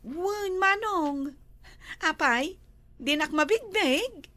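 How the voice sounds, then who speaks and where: Very high-pitched young Ilocano woman. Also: expressive, extremely slow, sharp tone, dry recording. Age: young adult. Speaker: young woman back from the city. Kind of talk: comedy drama acting.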